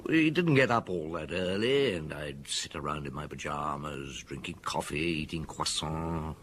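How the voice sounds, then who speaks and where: A man's voice: extremely low pitch, fairly bright tone, a little hiss or hum, full character voice. An actor playing a wry French police detective in his fifties in an audio drama, with a dry, musing manner. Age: 50s. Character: wry fifty-year-old French police detective on holiday. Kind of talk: audio drama dialogue and storytelling.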